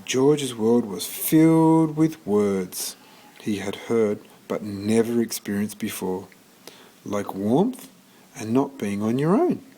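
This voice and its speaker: A man reading a children's story aloud in an expressive storytelling manner, occasionally stumbling and restarting. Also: fairly low pitch, slow pace. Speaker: storybook reader.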